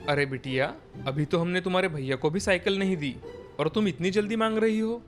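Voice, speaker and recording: A man's voice: high-pitched, brisk, soft background music, close to an everyday voice. A man playing an agreeable, dutiful family man in a cartoon story. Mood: patient, fatherly.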